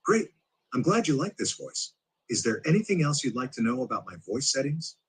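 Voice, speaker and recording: A male-voiced smart speaker assistant speaking in polite, even, synthetic phrasing. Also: mid-range voice, brisk, husky. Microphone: played from a smart speaker into the room.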